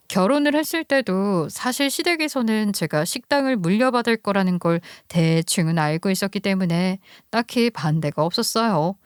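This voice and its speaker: A woman's voice: medium pitch, smooth, dry recording, studio quality. A woman narrating a scripted first-person life story.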